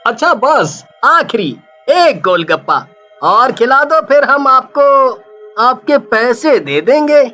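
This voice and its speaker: A brash young loafer acted by a man in a cartoon tale. He sounds sharp and bright, speaks slowly, and is cowardly under his cheek. Faint music runs behind. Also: very high-pitched, very animated, voice pushed up, exaggerated voice. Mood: cheeky. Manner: brash, pleading when scared.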